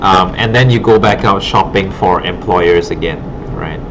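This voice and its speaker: A man with a low voice gives informal career advice in a rambling, encouraging way, often checking that he is followed. The recording is muffled, with obvious noise behind him in a classroom. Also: normal speed. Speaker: art instructor and former art director. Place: classroom at an art academy. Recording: muffled audio with clear background noise.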